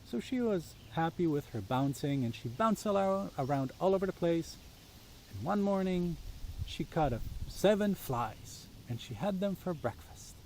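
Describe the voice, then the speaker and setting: A man's voice: a little high, expressive, unhurried, darkish tone, slight background noise, rough recording. A man tells children's stories outdoors in a simple, inviting way, speaking directly to his young listeners. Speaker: storyteller reading for children. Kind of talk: children's storytelling.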